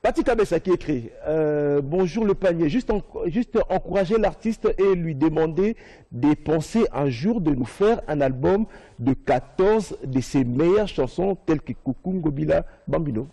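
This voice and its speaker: Tv presenter guy, dark husky voice, lively, chuckles a lot. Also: a little high, moderate pace. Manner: lively, laughing, reads fan questions. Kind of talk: live TV interview.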